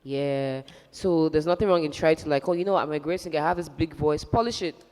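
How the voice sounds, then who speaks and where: A woman judge giving direct, coaching advice with quick asides. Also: sits low, darkish tone. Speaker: talent show judge. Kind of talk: live critique. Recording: distant in reverberant hall.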